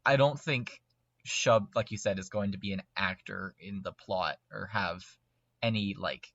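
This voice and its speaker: A man's voice: on the low side, a little slow, gravelly, a bit bright, no room sound, highs cut off. A man chatting casually as a podcast guest, speaking emphatically and repeating words for emphasis. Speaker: podcast guest, lore expert. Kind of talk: casual discussion.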